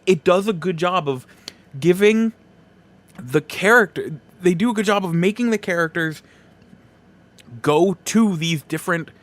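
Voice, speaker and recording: A man hosting a podcast, giving casual solo opinions in halting, broken phrases with emphatic stresses. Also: high voice, fairly bright tone. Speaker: podcast host.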